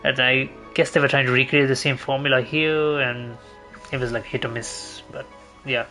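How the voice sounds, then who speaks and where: Guy streamer chatting over gameplay, medium pitch, rambly and hesitant, bit of game music. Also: level tone, normal speed, sharp tone. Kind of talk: casual gameplay commentary.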